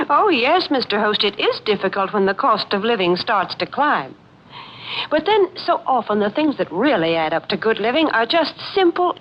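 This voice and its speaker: A woman as a cheery, wholesome sponsor's announcer in a 1940s radio advertisement, emphatic and chirpy. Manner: chirpy, emphatic sales pitch. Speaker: commercial announcer. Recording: muffled old radio recording, faint noise.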